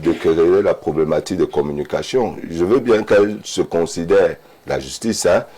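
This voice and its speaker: Deep-voiced man in his fifties. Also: moderate pace, warm tone. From Cameroon. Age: 50s. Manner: emphatic, repeats words when starting. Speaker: panel guest. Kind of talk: studio debate.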